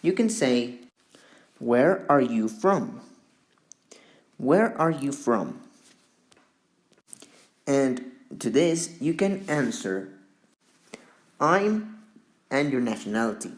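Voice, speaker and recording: A man teaching a beginner English lesson, speaking slowly and deliberately with long pauses between words. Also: mid-range voice, very animated.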